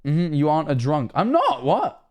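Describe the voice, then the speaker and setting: A man's voice: on the high side, wildly swooping pitch, moderate pace, creaky, darkish tone. A Scottish man of 21 streaming casually, rambling and self-mocking, swearing often.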